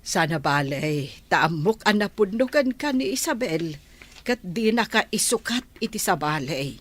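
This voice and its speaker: A woman's voice: lowish voice, expressive, a little slow, a bit bright. An actress with an Ilocano accent playing a concerned, advising middle-aged village mother in a radio drama. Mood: worried, advising.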